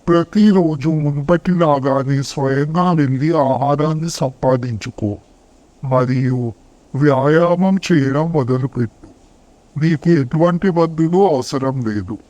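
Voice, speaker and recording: A man voicing a stern bear doctor in a children's animal story, speaking in a deliberate, admonishing way. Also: fairly high pitch, darkish tone, no room sound, muffled recording. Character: stern adult male bear doctor giving firm advice.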